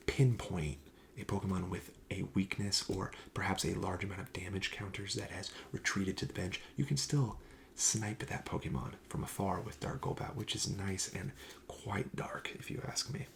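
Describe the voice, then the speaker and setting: A man speaking softly in an ASMR shop-clerk roleplay, often dropping to a breathy whisper, with a gentle, explanatory sales manner. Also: deep voice, slight background noise. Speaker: host playing a card shop clerk in a roleplay. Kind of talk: soft-spoken ASMR roleplay.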